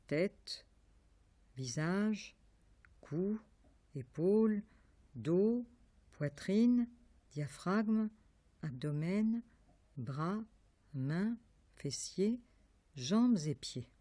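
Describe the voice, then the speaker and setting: A woman leading a guided yoga meditation, giving calm, measured instructions with pauses between phrases. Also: lowish voice, no echo. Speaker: yoga nidra meditation teacher.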